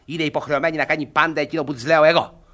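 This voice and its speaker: An actor playing a stern, possessive older guardian in a Greek radio theatre comedy, emphatic and sarcastic. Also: male voice, fairly high pitch, level tone, fairly bright tone, lightly acted. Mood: domineering, lecturing. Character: stern, grumbling, possessive older male guardian, old-fashioned.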